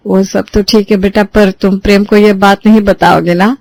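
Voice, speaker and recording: A middle-aged mother-in-law, soft and coaxing, who flusters and pleads when cornered, as a woman storyteller voices her. Her voice sits near the narrator's own range, heard dry and close. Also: mid-range voice, little pitch movement, normal speed, no room sound, lightly acted. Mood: flustered.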